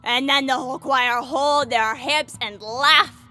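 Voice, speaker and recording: A woman's voice: sits high, slow pace, bright, forceful tone, heavily acted. A woman playing a mischievous, boastful fairy sprite and jester, telling tales in Shakespearean verse for an audio drama. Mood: gleeful, boastful. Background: music.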